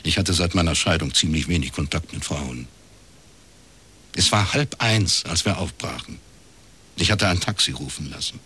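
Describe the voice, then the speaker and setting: An actor playing a reserved middle-aged detective in a radio crime drama, speaking in a dry, laconic way. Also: male voice, low-pitched, moderate pace, pushed and bright, husky, exaggerated voice. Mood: dry, reflective. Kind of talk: first-person radio crime drama narration and dialogue.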